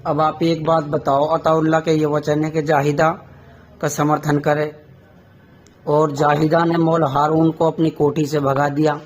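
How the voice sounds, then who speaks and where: A man's voice: fairly high pitch, slight background noise. A man arguing insistently on a phone call, repeating himself for emphasis. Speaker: caller on a recorded phone call. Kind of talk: heated phone conversation about local politics.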